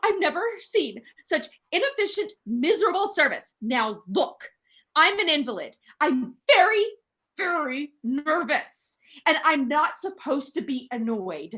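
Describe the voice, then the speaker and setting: A bedridden woman in a radio drama, very high and piercingly bright in voice. She is nervous and imperious, fretting and complaining with melodramatic indignation. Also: very animated, unhurried, heavily acted. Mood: exasperated, complaining. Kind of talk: radio play acting.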